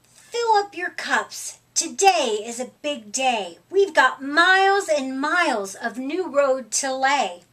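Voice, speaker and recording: A middle-aged woman reading a picture book aloud to young children in an expressive, deliberate, emphatic way. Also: sits high. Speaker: storytime reader. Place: small furnished room indoors.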